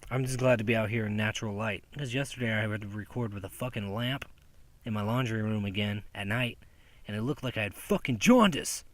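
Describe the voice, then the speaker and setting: A man's voice: lowish voice, a little fast, fairly bright tone, no echo. Profane, sarcastic man rambling outdoors. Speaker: podcast host. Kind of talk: rambling casual monologue to camera. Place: outdoors in daylight.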